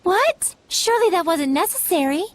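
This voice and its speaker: High, gentle, earnest spirit maiden. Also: female voice, big pitch swings, normal speed, dry recording. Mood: flustered. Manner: polite, formal, earnest. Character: gentle, courteous female spirit companion, earnest. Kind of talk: animated game cutscene acting.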